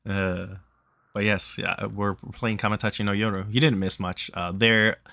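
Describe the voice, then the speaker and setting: Lowish-voiced man streaming a visual novel. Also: little pitch movement, normal speed, creaky, pushed and bright, no room sound. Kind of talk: casual live stream chat and narrated read-aloud.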